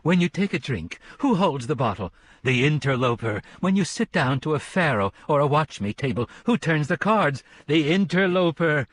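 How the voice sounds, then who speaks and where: A man's voice: on the high side, big pitch swings, normal speed, pitched above the performer's own voice. A man reading an audiobook, voicing a commanding female preacher who thunders out a hellfire sermon with rising cadences. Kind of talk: audiobook character voice.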